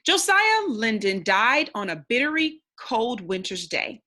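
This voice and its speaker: A woman's voice: a little high, big pitch swings, unhurried, pushed and bright. A woman reading a storybook aloud expressively, in the instructive, simple manner of a teacher with young listeners.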